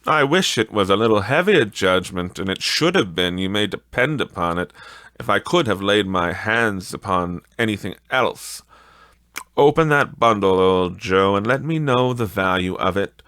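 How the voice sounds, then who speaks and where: A man's voice: lowish voice, lively intonation, moderate pace, fairly bright tone, no room sound, studio quality. A man voicing a brazen, grasping laundress woman in an audiobook story, with emphatic, sneering, colloquial speech. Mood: scornful, defiant.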